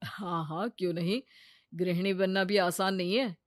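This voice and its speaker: A woman's voice: lowish voice, steady tone, moderate pace, no room sound, clean studio sound. A woman voicing a nagging middle-aged mother-in-law in a cartoon story, scolding and pushy. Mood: kind.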